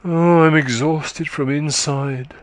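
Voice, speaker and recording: Worn-out middle-aged countrywoman, breathless, slow and sorrowful, dry close sound, read by a man. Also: on the high side, fairly even intonation, no echo, voice pushed up. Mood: weary. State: exhausted, short of breath.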